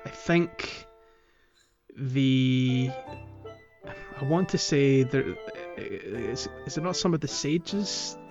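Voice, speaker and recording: Guy chatting about a video game on a podcast, kinda halting, bit lo-fi, soft music behind. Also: medium pitch, animated. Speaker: podcast co-host. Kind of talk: casual video game playthrough chat and recap. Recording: slightly dull sound, faint music.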